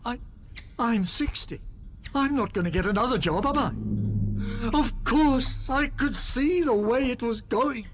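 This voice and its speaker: A woman's voice: fairly high pitch, animated, takes it slowly. A woman playing a weary, self-justifying office worker of sixty, reasoning insistently in a radio play. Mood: pleading. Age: 60s.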